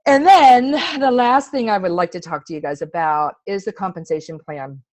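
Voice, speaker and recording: A woman's voice: on the low side, normal speed, a little mellow, no echo. A middle-aged woman giving a casual, chatty personal testimonial in an earnest, emphatic way. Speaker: testimonial speaker.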